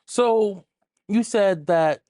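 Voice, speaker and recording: A man hosting a casual community interview show, talking in a relaxed, friendly way with frequent "um"s. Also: sits high, drawn-out words, no echo, clean studio sound. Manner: relaxed, friendly, filler-heavy. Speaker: host.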